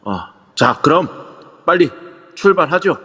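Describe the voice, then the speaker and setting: A man playing a composed male exorcist who speaks slowly and formally in an amateur audio drama. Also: on the high side, big pitch swings, sharp tone, breathy edge, heavily acted. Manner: slow, measured and formal. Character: calm middle-aged male exorcist in hanbok. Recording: reverb-heavy online audio.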